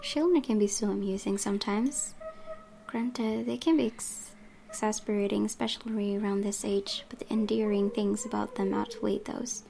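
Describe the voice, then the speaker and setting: A woman's voice: medium pitch, moderate pace, gravelly, dark tone, a little hiss or hum. A woman hosting a gaming channel, reading a visual novel's narration aloud with occasional asides.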